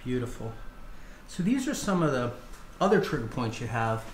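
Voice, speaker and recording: A middle-aged man, a chiropractor calmly instructing and explaining adjustments to a patient as he works. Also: mid-range voice, moderate pace. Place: small indoor treatment room. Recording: echoey room with background noise.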